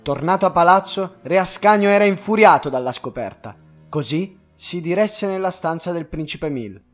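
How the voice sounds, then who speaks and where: A man with a high-pitched voice narrates a children's tale. His delivery is expressive and scripted, with music playing behind him. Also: normal speed. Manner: expressive, storytelling.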